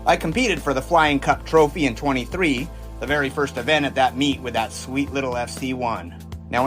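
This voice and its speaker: An actor playing a confident, folksy 1920s American test pilot in an audio drama, jaunty and boastful, with old-fashioned wordy phrasing. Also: male voice, mid-range voice, a bit bright, lightly acted. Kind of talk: audio drama dialogue and first-person storytelling.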